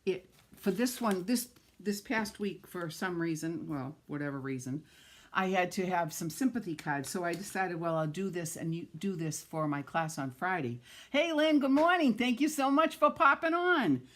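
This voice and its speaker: A woman's voice: on the low side, normal speed, a bit bright. A middle-aged woman with a New England accent, chatting and gushing as she demonstrates card making on a live stream and greets viewers by name.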